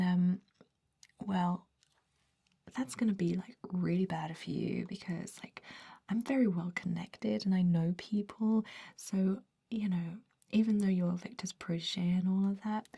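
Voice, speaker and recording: A woman voicing a scheming, chatty vampire recruiter in a whispered ASMR roleplay, with a soft breathy voice and a conspiratorial, casual manner. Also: mid-range voice. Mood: veiled threat. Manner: chatty, conspiratorial, hedging with likes and ums.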